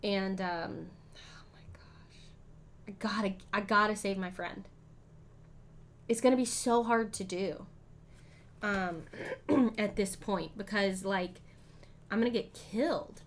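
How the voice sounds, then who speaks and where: A woman streaming a solo board game, chatting casually and thinking aloud, with frequent ums and throat clearing. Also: mid-range voice, slow, long pauses. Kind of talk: casual live-stream play-through.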